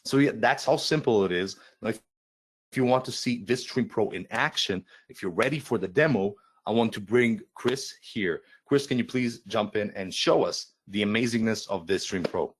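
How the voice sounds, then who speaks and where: A man hosting a webinar, pitching a product in loose, repetitive talk before handing over to a guest. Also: moderate pace, breathy edge. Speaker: webinar host.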